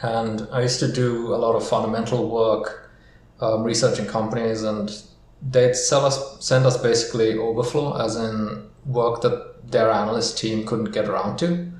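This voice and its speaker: A man from Sri Lanka and Singapore, a podcast guest answering questions in a relaxed way with many ums. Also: lowish voice, normal speed. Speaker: guest, equity research founder. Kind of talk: casual interview answers. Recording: echoey room, faint noise.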